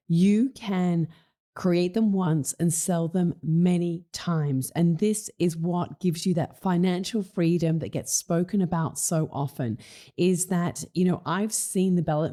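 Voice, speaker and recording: A middle-aged woman hosting her own podcast, talking in a casual, enthusiastic way with a slightly croaky voice and plenty of fillers. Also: fairly low pitch, warm tone. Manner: enthusiastic, conversational with many fillers. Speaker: podcast host. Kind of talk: casual solo podcast talk.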